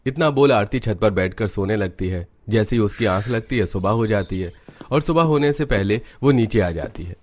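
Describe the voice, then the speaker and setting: A man with a fairly low voice narrates a cartoon story from a script. He works as the storyteller between the characters' scenes. There is faint noise behind him. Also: lively intonation, normal speed.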